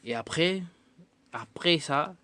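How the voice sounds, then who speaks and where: A man's voice: on the high side, expressive, slow pace, bright, forceful tone, clear, resonant tone. A man, a musician guest on a radio interview who answers thoughtfully and hesitates often. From Democratic Republic of the Congo. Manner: thoughtful, hesitant with frequent fillers.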